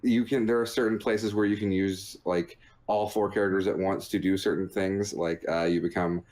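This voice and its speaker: A man's voice: sits low, little pitch movement, normal speed, a little mellow. A man co-hosting a gaming podcast in casual chat, with a jokey, rambling way of talking. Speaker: podcast co-host reviewing video games.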